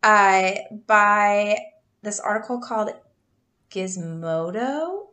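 A woman's voice: mid-range voice, animated, slow, bright, forceful tone. A woman in her thirties co-hosting a podcast, telling a true crime story in a casual, chatty way, laughing often and stumbling over names.

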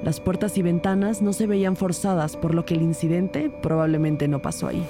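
A woman playing an observant detective who narrates a crime story in a measured, matter-of-fact way. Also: fairly low pitch, fairly even intonation, music in the background, natural voice. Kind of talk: scripted first-person narration of crime story.

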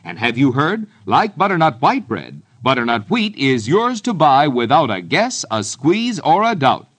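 A male announcer reads an old radio advertisement. His medium-pitched voice swings expressively as he delivers emphatic, scripted sales patter. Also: huge pitch swings, measured pace, fairly bright tone. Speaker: commercial announcer.

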